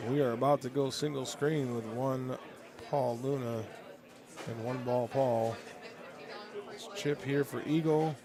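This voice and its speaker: A man's voice: medium pitch, fairly even intonation, measured pace, pauses often. A man calling live arcade golf play from an announcer's booth, chatty and joking. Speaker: play-by-play commentator. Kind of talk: live esports commentary. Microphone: booth microphone. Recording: busy event with crowd noise. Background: crowd chatter.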